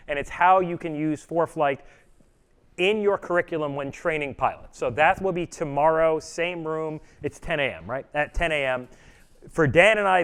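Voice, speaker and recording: A middle-aged man addresses a live audience in a session room, his voice loud and carrying. His tone is smooth and resonant, set a little high for a man, and his talk is conversational, sprinkled with "uh" as he explains things. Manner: loud, projecting voice; plain, conversational with frequent "uh" fillers. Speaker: presenter, customer education trainer. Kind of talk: conference presentation. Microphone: room mic or none, speaking to live audience.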